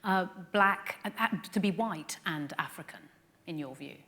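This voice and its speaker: A woman's voice: fairly low pitch, moderate pace, sharp tone. A woman presenting a live broadcast debate on a theatre stage, putting probing questions to panellists. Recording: echoey theatre hall.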